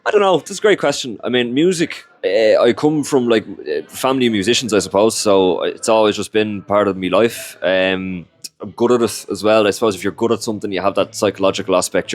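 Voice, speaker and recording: A young Irish man, whose first language is Irish, chatting casually in an interview and rambling with lots of fillers. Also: fairly low pitch. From Ireland. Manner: rambling, heavy on "you know" and "like" fillers.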